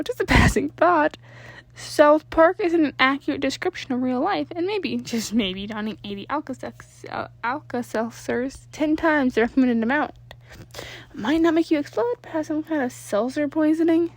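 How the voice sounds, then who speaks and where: Woman playing an exasperated, high-voiced teenage girl. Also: expressive, moderate pace, no echo. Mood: sarcastic. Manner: incredulous, chiding. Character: exasperated, scolding teenage girl, friend of the boy.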